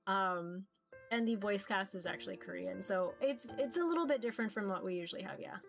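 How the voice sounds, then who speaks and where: A woman streamer talks casually over soft background music. Her delivery rambles and halts, with plenty of "like" as she chats about visual novels. Her pitch sits in an ordinary middle range. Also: creaky. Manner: rambling, halting, peppered with "like". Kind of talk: casual livestream chat, reading game text aloud.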